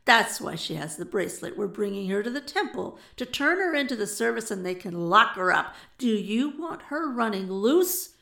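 Here is a woman's take on a gleeful male villain from an audio story, boisterous and bullying. The delivery howls and taunts in folksy phrases. It has a creaky edge and sits in an echoey room. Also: medium pitch, sing-song, normal speed, few pauses, bright, forceful tone, full character voice. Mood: roaring, scornful. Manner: chortling, howling, folksy taunting. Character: gleeful, loud, bullying male captor and rogue. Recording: roomy echo.

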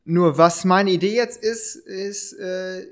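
A male podcast host with a high-pitched voice chats casually. He explains things haltingly, rephrasing as he goes, in an informal conversational style. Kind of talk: casual podcast chat in German.

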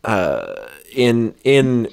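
A man with an average-pitched voice co-hosts a casual, comedic chat. He speaks in a conversational, bantering way. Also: very animated, very slow, darkish tone. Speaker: podcast co-host.

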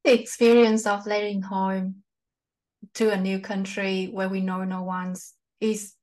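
Woman podcast host, gentle and appreciative, slightly non-native phrasing. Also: medium pitch, level tone, measured pace. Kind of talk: interview questions.

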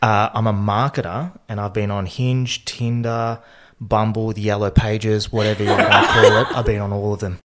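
A man's voice: fairly low pitch, little pitch movement, moderate pace. A man, teasing and laughing as a podcast guest. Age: 30s. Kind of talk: casual podcast chat.

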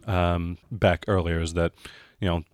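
A man's voice: sits low, steady tone, measured pace, no echo, studio quality. A man narrating an improvised tabletop roleplaying game as the game master, in a conversational way.

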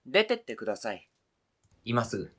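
A man's voice: mid-range voice, big pitch swings, moderate pace, husky. A man acting a stubborn, hurt partner in an amateur radio drama, questioning intensely and insistently. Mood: coldly accusing. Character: stubborn, hurt partner fiercely attached to an old toy.

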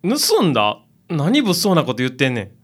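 A woman's voice: deep voice, wildly swooping pitch, normal speed, slightly rough, exaggerated voice. A woman acting as a friendly, casual young man minding a cafe in a radio drama, with a folksy Kansai accent. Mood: indignant. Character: breezy, friendly male freeter acting as cafe manager.

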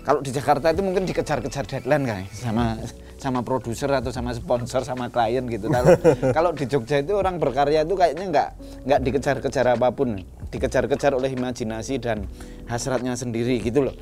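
Animated Javanese-accented man. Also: mid-range voice, fairly quick, soft background music. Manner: animated, mixes in Javanese phrases, laughs often. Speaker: hip hop collective member, guest. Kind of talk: casual podcast chat.

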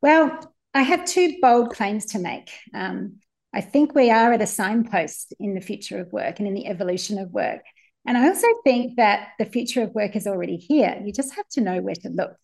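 An enthusiastic woman from New Zealand, talking as a guest on an interview show. She speaks as a coach and work futurist, with an upbeat manner. Her voice sits at a middle pitch for a woman. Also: moderate pace, gravelly, a little mellow. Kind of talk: interview answers.

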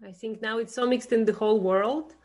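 A woman's voice: a little high, steady tone, a little fast, a little mellow. Woman interviewer. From Russia. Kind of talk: casual conversational interview.

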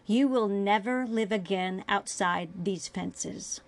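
A woman's voice: mid-range voice, slow pace, gravelly. A woman voicing a cruel female alien interrogator in a dramatic story reading, accusing and full of contempt. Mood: cold menace.

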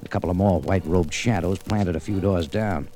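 An actor playing a tough, wry American cafe owner and amateur sleuth in a 1940s radio detective drama, speaking in a clipped, hard-boiled way. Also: male voice, low voice, fairly quick, close to an everyday voice. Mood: tense, narrating. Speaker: lead actor and narrator. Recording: old radio recording with music.

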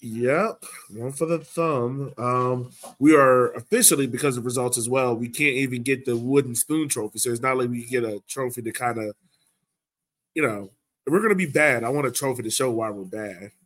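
A man's voice: medium pitch, normal speed, a bit bright. A man hosting a sports podcast, chatting casually and informally. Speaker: podcast host.

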